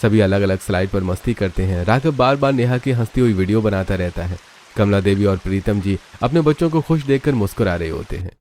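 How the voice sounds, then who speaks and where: A man's voice: on the low side, lively intonation, brisk, darkish tone, slight background noise. A man narrating a scripted family cartoon story.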